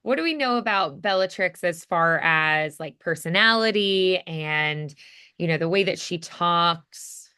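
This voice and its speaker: A woman's voice: fairly low pitch, expressive, measured pace, bright, forceful tone, clean tone. A woman coaching voice acting in a casual one-on-one lesson, thinking aloud and encouraging as she goes.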